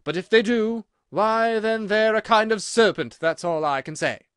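A man voicing a distraught, accusing mother pigeon in a storybook, with a shrill, scolding, emphatic delivery. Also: normal speed, fairly bright tone, clean tone, no room sound, clean studio sound. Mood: contemptuous. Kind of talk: storybook character voice.

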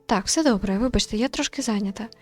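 A woman reading a tired, reserved young working woman in a visual novel, quiet, polite and hesitant. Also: medium pitch, quick pace, faint music behind, close to an everyday voice. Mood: withdrawn.

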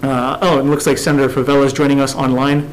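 A man runs a committee hearing in a measured, businesslike way. His voice, at an ordinary pitch, comes through a desk microphone in a government hearing room. A faint hum sits underneath. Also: little pitch movement, rough recording. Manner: measured, businesslike, reading out agenda items. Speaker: committee chair. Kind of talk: formal hearing procedure.

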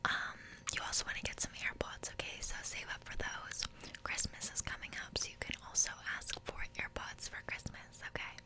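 A young adult woman whispering a casual ASMR roleplay close to the microphone, explaining things hesitantly with pauses. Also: low-quality recording. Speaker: ASMR creator. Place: small bedroom, indoors. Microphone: close desk microphone.

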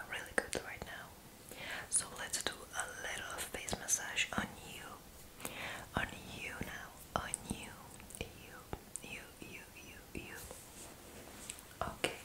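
A woman in her twenties speaking in a breathy, gentle whisper, soothing the listener in an ASMR roleplay and softly repeating words. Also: poor audio. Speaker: ASMR creator in a roommate roleplay. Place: small indoor room.

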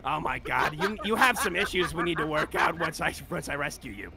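A man's voice: fairly high pitch, expressive, fairly quick, vocal fry, a crowd in the background. A man voicing a brash, foul-mouthed, exasperated cyborg ninja in a theatrical, improvised game fan dub.

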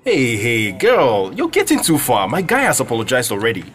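A man's voice: medium pitch, huge pitch swings, moderate pace, a bit bright. A man playing a blunt, loyal young Nigerian student friend in an audio drama. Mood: confrontational. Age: young adult.

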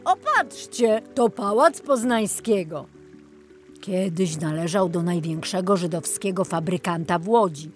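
An enthusiastic male tour guide from a children's storybook, as a woman narrator puts him on. He explains the sights slowly and with friendly exclamations. Music plays faintly behind. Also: on the low side, natural voice. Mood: enthusiastic, explaining. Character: enthusiastic, friendly man guiding a city boat tour. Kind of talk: children's audiobook character.

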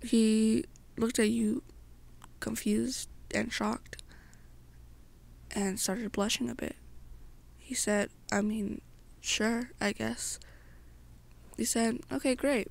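A young woman narrates second-person fan fiction in an ASMR style. Her voice sits fairly high and has plenty of creaky fry. It is breathy and sometimes falls away almost to a whisper. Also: fairly even intonation, slow, pauses often, a little mellow. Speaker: ASMR roleplay storyteller.

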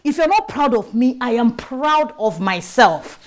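Feisty young Nigerian woman, high animated voice. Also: measured pace, no room sound. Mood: proud, defiant. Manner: outspoken, assertive, mixes in Pidgin. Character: feisty, proud young woman mechanic, outspoken and bossy. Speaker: actor in a film drama.

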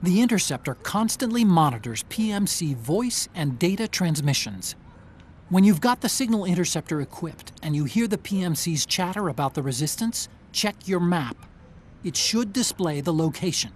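An actor playing an earnest, brainy tech ally in a video game, giving clear, urgent mission briefings. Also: male voice, high-pitched, expressive, moderate pace, slight background noise. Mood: explanatory.

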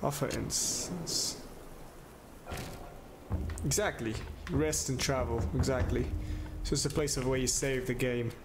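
A man's voice: mid-range voice, unhurried, pauses often, noisy background. A young man playing a video game and commenting casually, offhand and joking, recorded on a headset in a small room. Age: young adult. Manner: laid-back, offhand, joking. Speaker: gaming streamer. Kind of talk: casual let's-play commentary.

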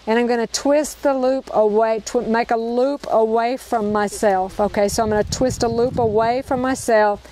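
Woman, slightly high voice, leading an outdoor knot demo; cheerful, repeats steps, faint park ambience. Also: fairly even intonation, moderate pace, few pauses, dark tone, a little hiss or hum, rough recording. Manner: upbeat, repeats each step, checks in with "okay?". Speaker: knot-tying instructor. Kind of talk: hands-on outdoor demonstration.

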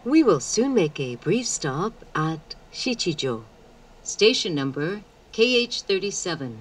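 A woman announces stops in a very slow, formal, carefully enunciated way. Her voice is fairly low, with a lively rise and fall. It comes through a train's speakers, picked up from a little distance. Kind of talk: scripted transit announcement. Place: train car, over public-address speakers. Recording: recorded off an in-car speaker, slightly distant.

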